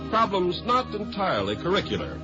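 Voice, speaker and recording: A man's voice: high-pitched, huge pitch swings, brisk. A man with an American accent delivering scripted announcements in 1950s radio style. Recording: muffled old radio broadcast with music. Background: music.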